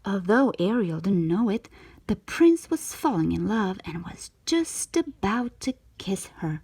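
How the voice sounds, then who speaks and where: A woman narrating a children's storybook in an expressive read-aloud style, with a breathy voice. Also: mid-range voice, a little slow.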